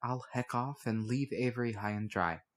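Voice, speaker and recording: A man's voice: medium pitch, moderate pace, close to an everyday voice. A man reads as an impish opossum girl in a fantasy audiobook. She is blunt and contrary, delivering everything with cheek. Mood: cheeky, contrary.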